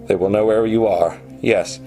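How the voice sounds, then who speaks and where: A man's voice: fairly low pitch, fairly even intonation, normal speed, dark tone, faint music behind, natural voice, rough recording. An actor playing a proud, obsessive male programmer genius in a radio play, with a brooding, self-important manner. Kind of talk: radio play acting with confessional narration.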